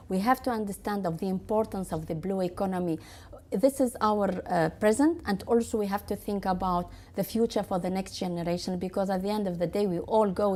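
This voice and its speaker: A woman from Tunisia answering interview questions in quick, halting full of restarts and fillers. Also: medium pitch, darkish tone. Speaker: guest.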